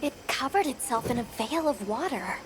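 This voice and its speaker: Actress as a high-voiced, curious young woman explorer. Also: expressive, normal speed, background noise, close to an everyday voice. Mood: observant. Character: bright, curious, friendly young woman on an expedition. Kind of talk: video game cutscene acting.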